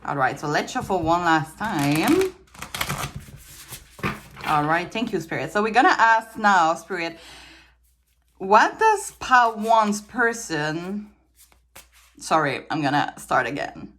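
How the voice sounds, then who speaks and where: A woman's voice: fairly low pitch, very animated, takes it slowly, long pauses, fairly bright tone. Quebec French woman chatting over tarot. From Quebec, Canada. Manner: chatty, laughs often, trails off and restarts. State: a little tired. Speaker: tarot reader. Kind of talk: casual tarot reading to camera. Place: indoors at a table with trinkets.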